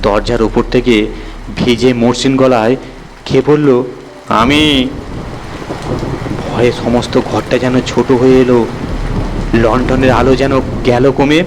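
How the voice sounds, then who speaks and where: A man's voice: medium pitch, level tone, a little slow, noisy background. A man narrating a scripted horror story in a dramatic style. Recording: long reverb, music behind.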